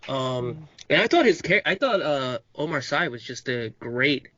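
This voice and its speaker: A man from Uruguay chatting on a podcast, earnest and halting with many fillers. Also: mid-range voice, sing-song, bright, forceful tone, no echo. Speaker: podcast panelist. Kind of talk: casual chat. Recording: dull, dry lo-fi mic.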